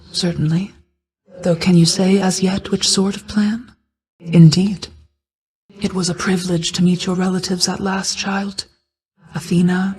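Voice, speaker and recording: Woman doing a regal ancient night goddess for a video game, solemn guarded mom, very formal n measured, stately. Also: on the low side, darkish tone, close to an everyday voice, low-quality recording. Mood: grave, worried, then composed, courteous. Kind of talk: scripted game character dialogue.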